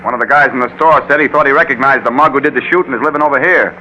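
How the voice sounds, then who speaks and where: An actor playing a tough, commanding American police sergeant in an old-time radio drama, with clipped, slangy delivery. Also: male voice, medium pitch, rapid-fire, bright, forceful tone. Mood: urgent. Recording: dull, noisy vintage radio recording.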